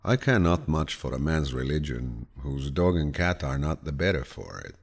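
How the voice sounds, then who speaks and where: Very deep, gravelly male audiobook narrator. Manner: calm, grandfatherly, measured storytelling. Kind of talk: scripted audiobook reading of quotations.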